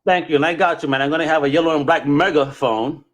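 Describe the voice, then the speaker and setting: A man's voice: a little high, steady tone, fast talker, a bit bright. A man hosting a podcast with exuberant, slangy, hype-filled casual chat. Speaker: podcast host.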